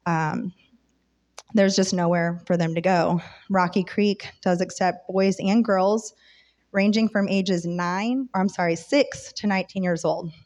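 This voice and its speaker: A woman volunteer sharing a prepared, earnest testimony with a church congregation, her voice a little rough from being under the weather. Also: fairly low pitch, fairly even intonation, a little slow, a little mellow. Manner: sincere, earnest. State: slightly rough voice from oncoming illness. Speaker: church member and volunteer speaker.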